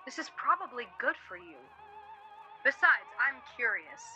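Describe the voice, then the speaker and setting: A woman's voice: high-pitched, sing-song, normal speed, pushed and bright, soft background music, exaggerated voice, rough recording. An actress playing an insistent, concerned woman in a naturalistic radio drama, pleading and exasperated. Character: insistent, concerned woman persuading a stubborn man.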